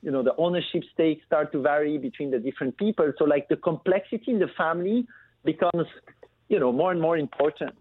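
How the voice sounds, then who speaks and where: A man's voice: a little high, fairly quick, husky, no room sound. A man from Belgium speaking as a phone-in interview guest, explaining things conversationally with many filler phrases. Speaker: phone guest, family business entrepreneur. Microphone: phone call. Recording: muffled narrow phone line.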